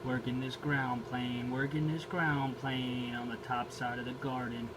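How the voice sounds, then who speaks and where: American male CB operator, middle-pitched, slangy repeated calls over clearly audible noise. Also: level tone, a little slow. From New Jersey, United States. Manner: repeats catchphrases and call signs. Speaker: CB radio operator. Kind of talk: CB radio calls, slangy patter. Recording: noisy radio chatter and vehicle ambience.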